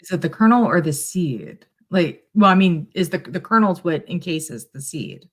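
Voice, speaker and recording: A woman's voice: fairly low pitch, expressive, normal speed. Woman guest chatting casually, hesitant. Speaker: guest tarot reader. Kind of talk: casual live-stream chat.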